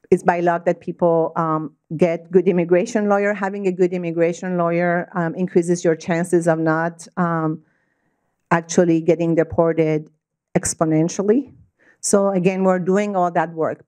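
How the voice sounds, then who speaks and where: A woman's voice: lowish voice, darkish tone, clean tone. A woman giving a talk and taking questions at a club meeting, conversational, with many fillers and restarts. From Spain. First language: Spanish. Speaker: guest speaker. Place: meeting room.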